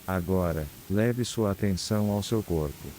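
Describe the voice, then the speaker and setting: A man with a Brazilian accent guides a scripted meditation in a calm, soothing, unhurried manner with long pauses. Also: low voice, a little hiss or hum. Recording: clear background ambience of ocean waves. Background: sea waves ambience.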